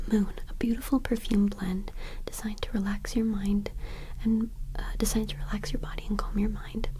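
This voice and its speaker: A woman whispering gently and unhurriedly in an ASMR roleplay as a shopkeeper attending to a customer, with a breathy sound. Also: mid-range voice, poor audio. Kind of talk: ASMR roleplay, soft-spoken shop chat.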